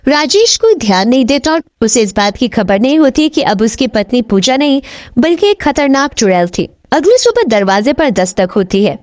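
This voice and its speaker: High, animated female storyteller. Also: normal speed, dry recording. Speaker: narrator. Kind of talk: scripted storybook narration for a horror cartoon.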